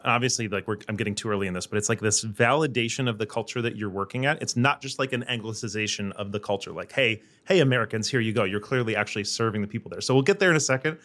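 A man's voice: on the low side, fast talker, hardly any pauses, a bit bright. A man hosting an interview podcast, chatty and rambling as he sets up questions. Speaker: podcast host. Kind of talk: casual interview.

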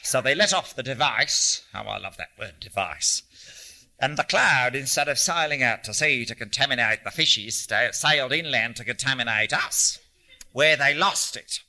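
A man's voice: mid-range voice, animated, moderate pace, pushed and bright, poor audio. A man reading a story, voicing an abrasive, sardonic, ailing old man with theatrical delivery. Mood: mocking. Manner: theatrical, mocking rants.

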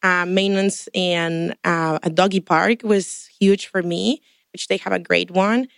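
A woman's voice: fairly low pitch, fairly even intonation, unhurried, vocal fry, a bit bright, smooth, no room sound, studio quality. A young woman from Mexico, chatting on a podcast as a guest in a chatty, detailed, upbeat way. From Tampico, Mexico. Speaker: guest, MBA student. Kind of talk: casual podcast chat.

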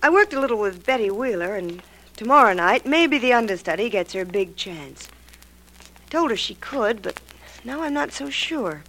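Bright, high-voiced radio heroine. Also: female voice, big pitch swings, moderate pace. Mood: thoughtful, uneasy. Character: spirited young actress, engaged, wisecracking but uneasy. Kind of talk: 1940s radio acting. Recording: faintly noisy old radio recording.

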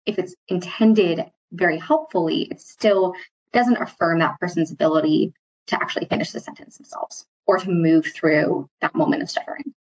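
A woman with a slightly low, husky voice answers interview questions reflectively. She phrases things in a thoughtful, halting way, rephrasing as she goes, with a mild stutter that surfaces only occasionally. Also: poor audio. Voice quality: mild, mostly covert stutter with occasional repeated starts. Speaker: interview guest, employee speaking on stuttering.

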